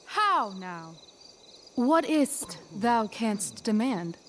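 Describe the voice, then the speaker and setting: A woman's voice: a little high, very animated, a little hiss or hum, exaggerated voice. A woman voicing a teenage girl in a video game who recites Shakespeare on stage as an imperious sorceress. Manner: imperious, deliberate archaic delivery. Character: teenage girl playing a commanding sorceress in a school play.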